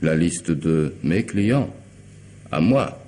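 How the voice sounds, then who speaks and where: An actor playing a coolly polite, intelligent hired killer in a radio mystery drama, speaking deliberately and sardonically, with commanding theatrical pauses. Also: male voice, very deep voice, animated, mellow, full character voice. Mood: sardonic, menacing. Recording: noisy old radio drama recording.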